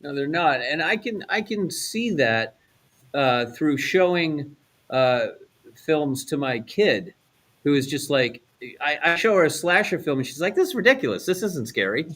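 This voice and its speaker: A man's voice: a little high, very animated, measured pace, fairly bright tone. A middle-aged man chatting casually as a member of a discussion panel. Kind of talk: casual chat.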